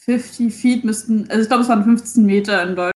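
A woman's voice: mid-range voice, quick pace, darkish tone. Hesitant woman in an echoey room. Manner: hesitant, piecing actions together aloud. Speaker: tabletop role-playing game player. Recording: echoey, roomy sound.

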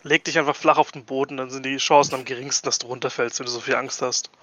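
A man's voice: medium pitch, steady tone, fast, a bit bright, no echo, muffled recording. A man bantering casually as a player in a tabletop game, with a dry, teasing manner.

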